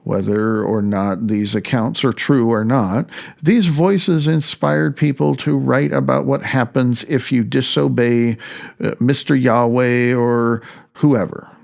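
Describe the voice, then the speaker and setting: A man narrating his own audiobook in a candid, wry way, loosely phrased with asides, halting and then rushing ahead. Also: mid-range voice, fairly even intonation, creaky, a little mellow. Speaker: author reading own audiobook.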